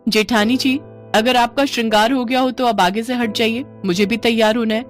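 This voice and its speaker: Woman voicing an irritable young newlywed sister-in-law, high-pitched. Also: fairly even intonation, normal speed, soft background music, close to an everyday voice. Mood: defiant. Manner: exasperated, grumbling, argumentative. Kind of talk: animated Hindi family story dialogue.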